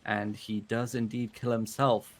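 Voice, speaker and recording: A man giving relaxed, chatty live play-by-play commentary on an online game battle. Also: lowish voice, measured pace. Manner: relaxed, chatty, laughs easily. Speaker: esports caster.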